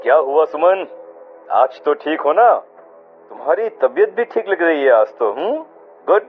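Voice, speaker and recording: A man's voice: a little high, big pitch swings, measured pace. A man playing an earnest young husband with dramatic delivery in a horror cartoon. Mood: friendly concern. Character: caring young husband, bewildered and frightened. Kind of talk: cartoon horror dialogue acting. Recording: muffled audio with faint music.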